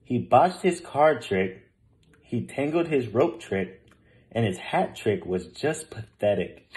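A man's voice: mid-range voice, sing-song, slow, darkish tone, low-quality recording. A man reading a children's picture book aloud as narrator. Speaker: storybook reader. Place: small room at home, indoors.

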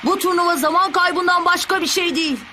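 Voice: very high-pitched, brisk, pushed and bright, a little hiss or hum. An actor playing an impatient young boy in dubbed anime, whining in a childish way. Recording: music behind the voice.

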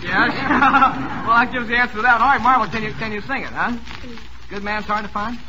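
Jovial high-voiced man hosting a radio quiz. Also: moderate pace, a crowd in the background. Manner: jovial, teasing. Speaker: quiz show host. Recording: noisy old radio broadcast.

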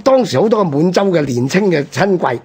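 A male radio co-host with a Hong Kong Cantonese accent and a husky voice, telling history in an animated, conversational way. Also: high-pitched, a little fast.

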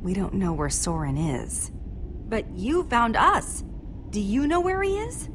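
Haughty, animated game engineer. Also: female voice, mid-range voice, measured pace, slight background noise. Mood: serious. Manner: cool, condescending, dry. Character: haughty, witty female redstone engineer and hero. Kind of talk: animated video game acting.

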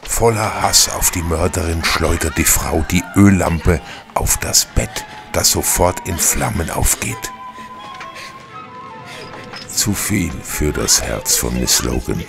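An actor playing the grave narrator and announcer of a radio crime play, in scripted dramatic narration. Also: male voice, low voice, animated, takes it slowly, gravelly, over music, full character voice.

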